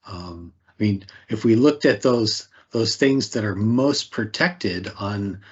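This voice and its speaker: Guy giving a privacy talk, slightly husky voice, keeps asking questions, dull lo-fi sound. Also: lowish voice, takes it slowly. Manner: asks rhetorical questions, tags "right?". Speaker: speaker leading a privacy talk. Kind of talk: conversational presentation.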